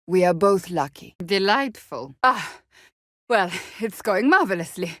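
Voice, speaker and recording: A woman's voice: medium pitch, animated, measured pace, a bit bright, dry recording, studio quality. An actress voicing a stern, devout warrior woman in a fantasy video game, speaking in a formal, blunt, commanding way. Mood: stern, earnest.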